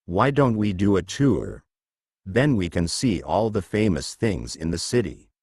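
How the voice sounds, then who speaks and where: Friendly, keen young photographer for a storybook played by a man, deep pitch, unhurried, clearly enunciated enthusiasm. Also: very animated, darkish tone, no echo, studio quality, exaggerated voice. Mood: eager. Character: eager, friendly boy photographer from Iraq.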